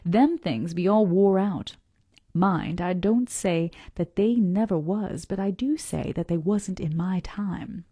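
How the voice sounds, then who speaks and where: A woman's voice: fairly low pitch, slow pace, mellow, no room sound. A woman voicing a brusque, skeptical old sailor of nearly a hundred in a storybook, with an American Southern accent and folksy dialect. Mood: brusque, dismissive. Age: nearly 100. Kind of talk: acted storybook dialogue.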